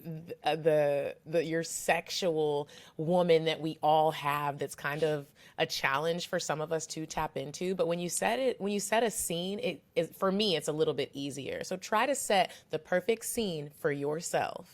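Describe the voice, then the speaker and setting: A woman's voice: low-pitched, moderate pace, hardly any pauses. A woman co-hosting a podcast, chatting casually in a blunt, slangy storytelling manner. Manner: blunt, profane, animated storytelling. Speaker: podcast co-host. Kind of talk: casual chat.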